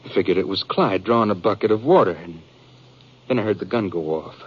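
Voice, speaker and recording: Dull vintage radio recording, Western fugitive, lowish pitch, rustic American accent, earnest, halting, frightened, aggrieved. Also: male voice, husky. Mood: grieving, bitter. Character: frightened, aggrieved Western ranch man on the run. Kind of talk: 1950s radio drama acting. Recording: muffled 1950s radio recording.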